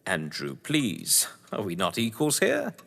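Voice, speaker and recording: An actor playing a suave, sardonic upper-class English novelist, wordy and condescending, in a theatrical audio drama. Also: male voice, lowish voice, huge pitch swings, measured pace, creaky, a bit bright, exaggerated voice. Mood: mocking politeness. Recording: echoey hall-like reverb.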